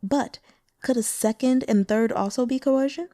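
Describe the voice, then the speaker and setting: A woman's voice: sits high, moderate pace, mellow, no room sound, studio quality, voice pushed up, lightly acted. A woman voicing a coy, teasing demonic seductress. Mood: sly.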